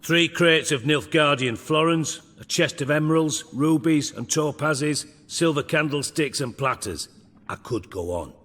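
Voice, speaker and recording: An actor playing a menacing, blunt underworld boss with dry sarcasm in a video game. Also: male voice, on the high side, a little slow, a bit bright, long reverb tail. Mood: scornful. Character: menacing, sardonic, foul-mouthed male underworld crime boss.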